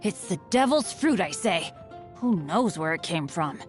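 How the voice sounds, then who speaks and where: A woman's voice: on the low side, sing-song, unhurried. A woman voicing an upbeat, earnest teenage boy adventurer in a video game, eager and boyish. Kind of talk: scripted game voice lines. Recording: music behind the voice.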